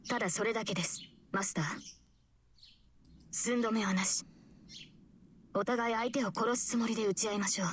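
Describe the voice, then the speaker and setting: A woman's voice: fairly high pitch, fairly even intonation, very fast, pauses often, slight background noise, close to an everyday voice. An actress voicing a composed, resolute young swordswoman with formal, polite speech in an anime-style game. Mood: firm, calm. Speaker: visual novel voice actor. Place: studio recording with loud music behind.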